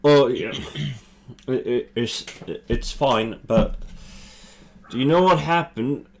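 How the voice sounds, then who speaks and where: A man's voice: mid-range voice, takes it slowly. A man voicing a flustered, apologetic male tourist who stammers and hesitates.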